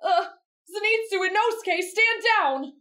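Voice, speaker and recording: Actress as young female anime caretaker, stern, protective, bossy, high voice. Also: measured pace, bright, forceful tone, exaggerated voice. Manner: scolding, bossy, firm. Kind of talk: anime fan roleplay audio drama.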